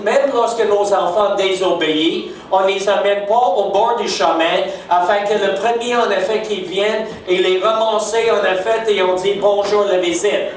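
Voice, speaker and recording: A man's voice: high-pitched, moderate pace, few pauses, slight background noise. An older man with an English Canadian accent, a native English speaker preaching a sermon in French, emphatic and often checking in with his listeners. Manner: warm, emphatic, keeps asking "n'est-ce pas?". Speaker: guest preacher. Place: church sanctuary. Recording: echoey church hall from far off.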